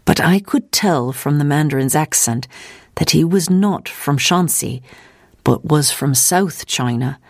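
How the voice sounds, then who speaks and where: A narrator voicing a steadfast veteran missionary woman who gravely and deliberately recounts danger in an audiobook. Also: mid-range voice, dry recording, clean studio sound.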